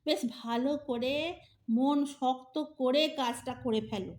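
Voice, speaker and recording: Woman as elderly wife, very high voice, blithe, teasing, mock-sweet, comic audio play. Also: fairly even intonation, a little slow, a little mellow.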